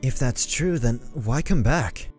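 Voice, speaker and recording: A man's voice: mid-range voice, animated, slow, soft background music. A man voicing a hurt, guarded young man. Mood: wary.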